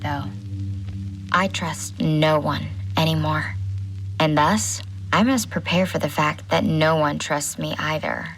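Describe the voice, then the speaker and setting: An actress playing a shaken, wary woman doctor confiding a first-person audio drama diary in a measured way. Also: deep voice, low-quality recording. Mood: distrustful. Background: prominent music under the voice.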